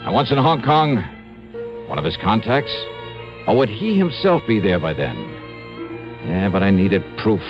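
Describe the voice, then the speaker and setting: An actor playing a wry, tough male insurance investigator in a 1950s radio drama, dry narration and brisk talk. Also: fairly low pitch, sing-song. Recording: dull old radio broadcast with faint music.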